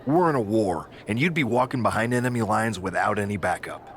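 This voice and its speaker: An actor playing a cautious male wrestling official in a video game cutscene, measured and conspiratorial. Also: on the low side, animated, a bit bright, a little hiss or hum. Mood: wary, intrigued.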